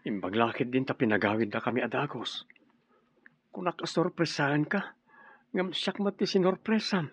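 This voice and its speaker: A man's voice: mid-range voice, normal speed, husky, dry recording. A man with an Ilocano accent playing an indignant young husband who defends his sick wife in a radio drama. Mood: concerned.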